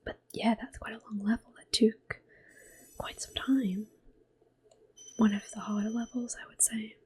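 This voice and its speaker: A woman giving hushed, gentle, soft-spoken ASMR commentary as she plays a video game. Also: a little high, flat delivery, measured pace, a little hiss or hum. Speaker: ASMR gaming host. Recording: soft music in the background. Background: quiet game music.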